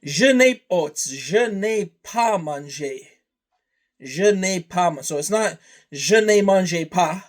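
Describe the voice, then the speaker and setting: A man whose first language is Serbian, talking slowly and rambling through a casual vlog, trailing off into long lists. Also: sits high. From Canada. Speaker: vlogger.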